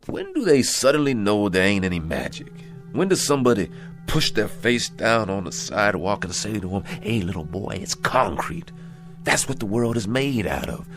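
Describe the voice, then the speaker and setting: Deep-voiced, weary washed-up American boxer. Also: male voice, big pitch swings, normal speed, music in the background. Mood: weary, bitter. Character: weary washed-up boxer, gentle, proud, disillusioned. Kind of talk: radio drama acting.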